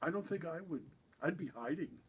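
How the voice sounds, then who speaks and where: A man playing a kindly Santa Claus with a husky voice, reading a storybook to children with gentle, folksy, explaining asides. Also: on the high side, lively intonation, normal speed. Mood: gently explaining. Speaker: guest reader at a benefit concert.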